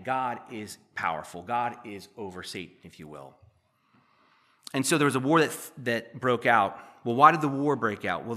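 A man with a bright, forceful tone delivers a sermon, lifting his voice to read scripture and then dropping into plain, conversational explanation. His voice is slightly hoarse and worn from use. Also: mid-range voice. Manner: reads verses louder, then explains conversationally. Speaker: pastor.

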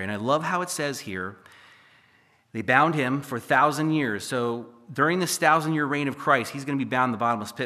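Hoarse preacher in an echoey hall. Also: male voice, mid-range voice, bright, forceful tone. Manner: conversational, with jokey asides and frequent "okay?" and "right?". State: hoarse from losing his voice. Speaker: pastor. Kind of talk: preaching a sermon with Bible readings.

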